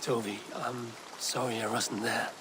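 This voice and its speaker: A man playing a stern but compassionate Viking clan leader in a video game, speaking in a firm, measured, formal way. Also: mid-range voice, little pitch movement, background noise, lightly acted. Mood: solemn, consoling. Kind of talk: video game cutscene acting.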